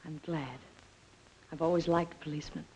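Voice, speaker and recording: A woman's voice: sits low, little pitch movement, dark tone, a little hiss or hum, low-quality recording. An actress playing a poised, earnest young woman under suspicion in a 1940s film noir, speaking politely and carefully. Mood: subdued, composed. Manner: measured, polite, hesitant. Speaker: film actor. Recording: 1940s film soundtrack with score behind.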